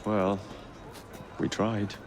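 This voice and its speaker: An actor playing a cocky, flippant young Victorian assassin in a video game, brash and teasing. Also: male voice, on the low side, flat delivery, drawn-out words, noisy background. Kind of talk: video game cutscene acting.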